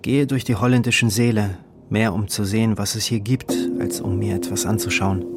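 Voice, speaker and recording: Low-voiced man reading a diary over music. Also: steady tone, normal speed. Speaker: reader of a travel diary. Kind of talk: scripted literary narration, audiobook-like diary reading.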